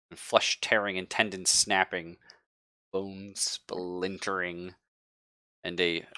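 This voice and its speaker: A man with a very low, bright-edged voice works as game master of a horror tabletop game. He narrates at an unhurried, deliberate pace with pauses for detail, then slips into casual chat. The sound is dry and close to the mic. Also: no room sound. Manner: slow, deliberate, vivid descriptive storytelling with pauses.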